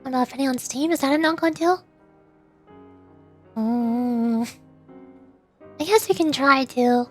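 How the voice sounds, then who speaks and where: High-voiced chatty young woman streamer. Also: slow, faint music behind. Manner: rambling and chatty, with frequent laughs and restarts. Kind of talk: casual chat while streaming a game.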